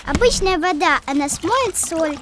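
A woman's voice: very high-pitched, animated, measured pace, noisy background. A woman voicing a young child in an educational cartoon, helpfully explaining and correcting. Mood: helpful, explanatory.

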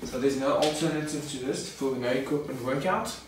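A man's voice: mid-range voice, brisk. A young man coaching a workout demonstration in a casual, instructional manner. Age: young adult. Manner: casual, instructional, addressing viewers as guys. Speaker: fitness coach. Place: garage home gym. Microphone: camera a few metres away. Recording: echoey garage, distant mic, faint noise.

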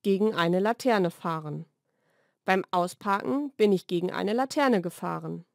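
A woman reads short scripted vocabulary lesson sentences in a clearly enunciated, measured way. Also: lowish voice, no echo, studio quality. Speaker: language lesson narrator. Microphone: studio voice-over recording.

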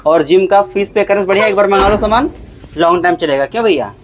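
A man's voice: high voice, quick pace. A talkative man hosts a casual unboxing vlog. He keeps turning to his viewers as brother and says things twice to drive them home. His delivery is loose and conversational, never scripted. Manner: repeats points for emphasis. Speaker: vlogger.

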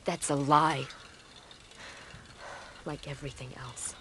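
Slow, hushed runaway cult woman. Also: deep voice, fairly even intonation, a little hiss or hum, heavily acted. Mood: bitter. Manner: hushed, halting, breathy. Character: disillusioned, frightened runaway female cult member. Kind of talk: video game cutscene acting.

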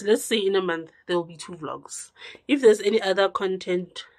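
Young woman vlogger, congested, unhurried low chat to camera in a small room. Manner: chatty, with drawn-out words and sudden drops to a low murmur. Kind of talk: casual direct-to-camera chat.

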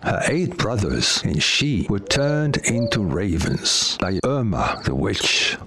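A man narrating a scripted fairy tale with deliberate storytelling delivery, as if for children. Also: lowish voice, very animated, bright, forceful tone. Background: music.

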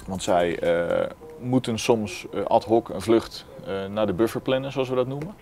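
Low-voiced man explaining airport bus coordination. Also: darkish tone. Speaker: apron controller, bus coordinator. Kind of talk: documentary interview about work. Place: glass-walled airport control tower office.

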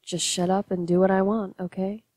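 A woman's voice: mid-range voice, level tone, normal speed, dark tone, dry recording, studio quality, lightly acted. A woman playing a bossy, flirtatious widow in an audio drama. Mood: bossy, coaxing. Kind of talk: podcast audio drama acting.

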